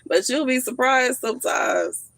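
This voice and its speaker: A woman in her thirties on a casual livestream, telling stories in an animated, slangy way and laughing often. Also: high-pitched, a little slow, no room sound, raised voice. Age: 30s. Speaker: livestream host.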